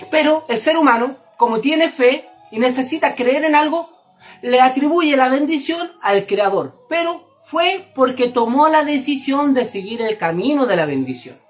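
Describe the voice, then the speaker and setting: A woman giving a religious teaching, speaking directly to the listener in an emphatic, didactic way and repeating phrases for stress. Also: high voice. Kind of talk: Torah and Kabbalah sermon, direct address to listener.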